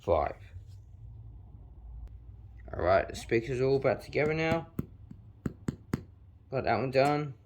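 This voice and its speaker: A 19-year-old man, chatting casually through a hands-on how-to while deliberately pushing his voice extra deep. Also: very animated, noisy background. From New Zealand. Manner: chatty, filler-heavy, talks while working with his hands. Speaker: vlogger.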